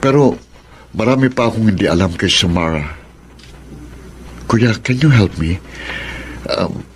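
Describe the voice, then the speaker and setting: A lovestruck politician in a Filipino radio drama. His voice is fairly low and earnest, with a little background noise. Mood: pleading.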